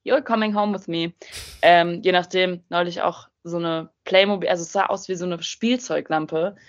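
Bubbly young woman, low voice, muffled sound. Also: fairly even intonation, moderate pace. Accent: standard German. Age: young adult. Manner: bubbly, slangy, self-mocking. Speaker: musician guest. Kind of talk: casual interview chat.

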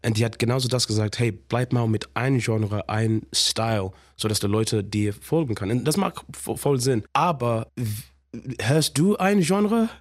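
A man from Zimbabwe with an English accent, a musician guest speaking halting German mixed with English, animated and laughing often. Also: medium pitch. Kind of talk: casual radio interview.

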